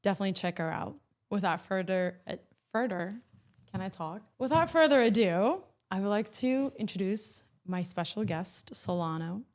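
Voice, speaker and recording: A woman hosting a podcast, asking questions in a casual, enthusiastic way and keeping up quick affirmations. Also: medium pitch, expressive, a little mellow. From immigrant, came to the US as a child. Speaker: podcast host. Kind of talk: casual interview.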